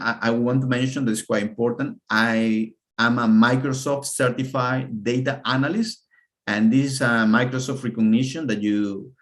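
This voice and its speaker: A man in his thirties from Peru, with a Peruvian Spanish accent, giving an earnest online presentation over a video call and often repeating words as he searches for them. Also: on the low side. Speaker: webinar presenter.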